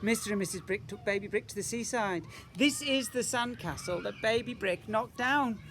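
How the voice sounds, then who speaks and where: A man's voice: high-pitched, moderate pace, a bit bright, a little hiss or hum. A man reading a picture book to young children as a teacher, emphatically, with rhetorical questions and asides. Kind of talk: animated picture-book storytelling.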